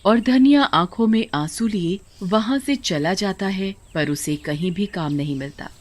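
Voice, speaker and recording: A woman's voice: medium pitch, measured pace. A woman narrating a scripted moral story as its storyteller.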